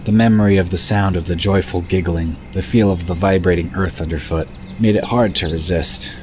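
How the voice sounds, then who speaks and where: A man's voice: low voice, fairly even intonation, a little fast. A man narrating a scripted fantasy story in the third person as the narrator of an audio drama. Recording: background noise of birds and crickets.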